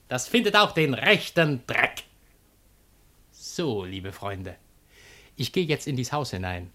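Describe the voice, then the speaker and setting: An actor playing a coarse, mocking fellow who declaims in a sing-song way in a classic German radio comedy. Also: male voice, fairly high pitch, sharp tone, full character voice. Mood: sly.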